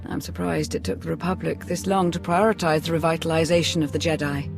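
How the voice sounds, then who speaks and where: A pragmatic male strategist for a game cutscene. He is cool and formal, with precise wording in a fairly high register. Music is clear underneath. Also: lively intonation, quick pace. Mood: cool, assured. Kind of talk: video game cutscene acting.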